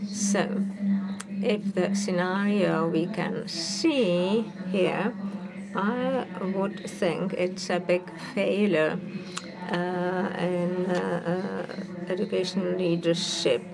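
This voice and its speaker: Slow, gravelly woman on a video call. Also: lowish voice, rough recording. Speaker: speaker joining a conference remotely. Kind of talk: conference presentation. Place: hospital room, speaking into a conference hall.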